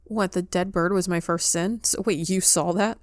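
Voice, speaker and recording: A woman's voice: lowish voice, steady tone, moderate pace, no room sound. A woman giving casual, conversational commentary as she plays through a game. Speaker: game streamer. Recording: faint background noise and game ambience.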